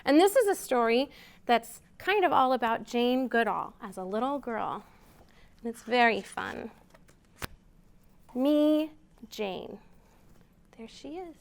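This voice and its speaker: A woman's voice: on the high side, expressive, takes it slowly, vocal fry, long pauses, a little hiss or hum. A woman hosting a storytime for young children, reading aloud expressively and prompting them with simple questions. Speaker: children's storytime host at a library.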